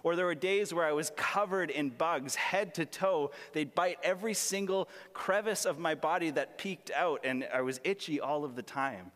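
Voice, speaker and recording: Raspy young pastor in a big hall. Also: male voice, fairly high pitch, fairly bright tone. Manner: earnest, self-deprecating, conversational with humor. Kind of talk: sermon with personal stories. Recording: long reverb of a large hall.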